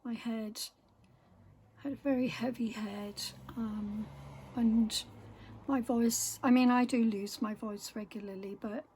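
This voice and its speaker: Slow, soft-spoken croaky woman. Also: fairly high pitch, steady tone, slight background noise. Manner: soft-spoken, rambling, many pauses and fillers, often half-whispered. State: croaky voice, sore throat. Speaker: craft vlogger. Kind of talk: casual chat while stitching. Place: home room with window open.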